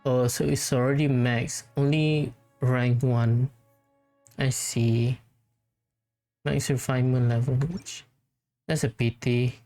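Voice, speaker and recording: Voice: medium pitch, fairly even intonation, slow, long pauses, mellow. A gamer giving casual, hesitant play-through commentary, thinking aloud. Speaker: gamer, let's play host. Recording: game music behind the voice. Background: game music.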